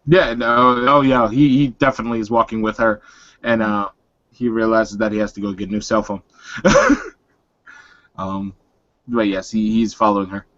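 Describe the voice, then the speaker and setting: A man's voice: lowish voice, little pitch movement, a bit bright, dry recording. Man casually narrating roleplay, dry close sound. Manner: halting, informal. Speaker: roleplaying game player. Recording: slightly dull, dry lo-fi audio.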